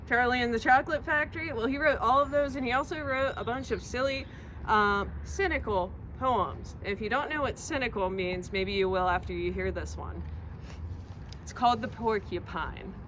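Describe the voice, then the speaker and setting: A woman reading a children's poem aloud in a theatrical, expressive storytelling manner, recorded outdoors. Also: fairly high pitch, normal speed. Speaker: library reader presenting a poem. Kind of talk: animated children's poetry reading. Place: outdoors outside a public library. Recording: outdoor recording with faint traffic noise.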